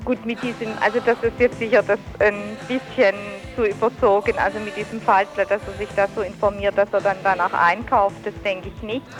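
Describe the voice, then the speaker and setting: A woman's voice: mid-range voice, fairly even intonation, fairly quick, few pauses, music in the background, rough recording. A woman, a youth protection staffer, explaining things as a guest in a telephone interview.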